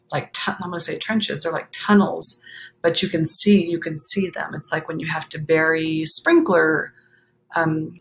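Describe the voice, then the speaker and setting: A woman reading a children's picture book aloud expressively, with dramatic drawn-out phrasing and chatty asides. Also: on the low side, vocal fry, a bit bright, poor audio. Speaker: children's story reader.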